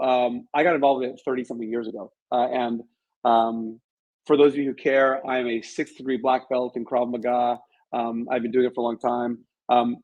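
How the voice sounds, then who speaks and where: A man's voice: mid-range voice, darkish tone, highs cut off. A middle-aged man answering as an interview guest, explaining things conversationally and with emphasis. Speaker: interview guest, author and martial arts instructor.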